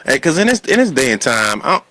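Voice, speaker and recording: A man hosting a casual group interview, animated and often repeating his phrases and questions. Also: medium pitch, quick pace. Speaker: talk show host.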